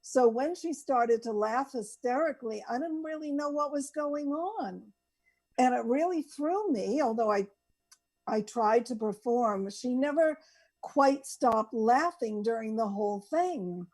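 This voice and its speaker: Slow-talking woman telling personal stories. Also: a little high, darkish tone. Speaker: co-host. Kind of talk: casual personal storytelling.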